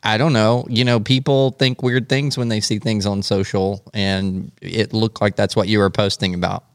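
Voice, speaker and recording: Low-voiced man, dry close podcast sound. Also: little pitch movement, moderate pace, no room sound. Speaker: podcast host. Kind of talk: casual comic banter.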